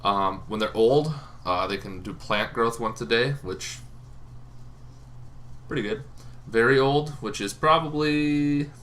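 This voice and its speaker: A man hosting a tabletop gaming channel, explaining casually off the cuff in an informal, halting way, as if thinking aloud. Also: medium pitch, fairly bright tone. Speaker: host of a tabletop roleplaying lore channel. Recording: noticeable background noise.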